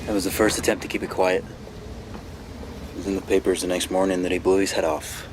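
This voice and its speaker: An actor playing an earnest, haunted young graduate student in a radio drama, halting and plainspoken. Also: male voice, low-pitched, level tone, background noise, close to an everyday voice. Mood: haunted, reflective. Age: young adult.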